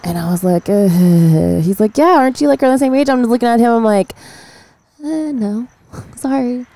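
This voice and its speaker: A woman's voice: fairly high pitch, moderate pace, mellow, dry recording. A woman in her twenties hosting a podcast, chatting casually and swearing freely as she retells stories. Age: 20s. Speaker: podcast host. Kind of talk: casual chat.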